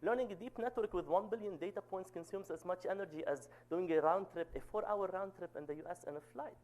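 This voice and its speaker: A man in his thirties with a loud voice, giving an academic seminar talk and often checking in with "okay?". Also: quick pace. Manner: says "okay?" to check in, lively pitch. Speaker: guest lecturer.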